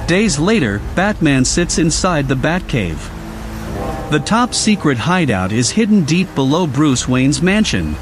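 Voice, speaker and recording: Male narrator, higher-pitched and animated, dramatic storybook delivery. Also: a little slow. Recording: loud music under the voice. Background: loud music.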